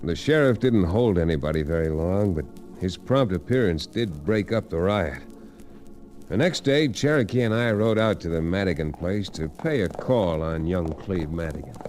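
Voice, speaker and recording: A man's voice: low voice, expressive, normal speed, a little mellow, faint music behind. An actor with an American accent playing a tough, gallant frontier lawyer who tells his story in folksy style in an old radio western. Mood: wry storytelling. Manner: folksy, colourful turns of phrase. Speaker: narrator and lead. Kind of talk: old-time radio western narration and acting.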